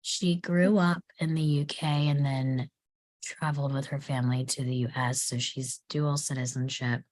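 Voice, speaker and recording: Low-voiced woman chatting casually. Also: fairly even intonation, moderate pace, darkish tone. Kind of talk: casual group chat.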